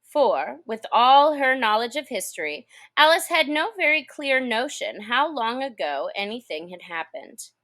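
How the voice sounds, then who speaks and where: A woman reading a storybook aloud in expressive narration for children and learners. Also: fairly high pitch, a little slow, few pauses. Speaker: storybook reader.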